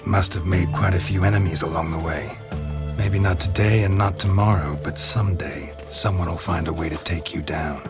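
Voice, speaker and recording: An actor playing a stoic, world-weary monster hunter in a video game, speaking in a terse, deadpan manner. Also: male voice, deep voice, moderate pace, loud music behind the voice, lightly acted. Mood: dry, wary. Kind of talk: dramatic video game voice acting.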